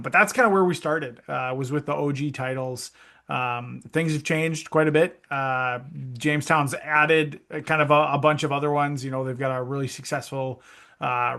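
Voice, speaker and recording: A man in his thirties with an Upper Midwest accent, chatting casually as an interview guest, relaxed and friendly with plenty of ums. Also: mid-range voice, gravelly. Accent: Upper Midwest American. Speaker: guest, esports director.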